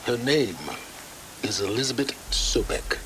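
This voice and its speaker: An actor playing a cool, knowing informant in a video game, measured and cryptic, heard through a communicator. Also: male voice, mid-range voice, noisy background. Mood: knowing, cautionary.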